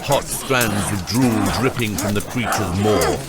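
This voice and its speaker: A man giving measured, scripted dramatic narration as the narrator of an epic fantasy audiobook. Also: on the low side. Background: prominent music.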